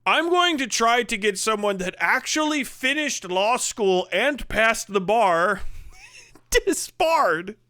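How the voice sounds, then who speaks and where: A man's voice: high voice, animated, slow, bright, forceful tone, no echo. A man hosting a livestream, ranting casually with sarcasm and profanity, laughing at his own jokes into a close mic. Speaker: livestream host.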